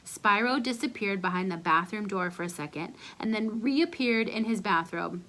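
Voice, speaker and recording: A woman giving an expressive read-aloud narration of a children's storybook. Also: medium pitch, moderate pace, low-quality recording.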